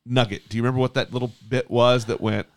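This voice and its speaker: A man's voice: mid-range voice, little pitch movement, quick pace, dry recording. Male podcast host, chatty, stumbles and repeats words, dry sound. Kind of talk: casual podcast interview.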